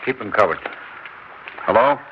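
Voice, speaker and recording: An actor playing a stern chief who gives clipped orders, in a 1960s radio drama. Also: male voice, on the low side, expressive, normal speed, noisy background, close to an everyday voice. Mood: commanding. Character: stern intelligence chief, brisk and commanding. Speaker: chief of an agency. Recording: muffled old radio broadcast.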